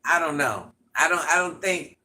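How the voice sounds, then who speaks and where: A man with an itchy, scratchy throat, hosting a livestream in a grandmother persona and chatting through viewer comments with quick laughs and asides. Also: fairly high pitch, animated, sharp tone. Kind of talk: casual live chat answering viewer comments.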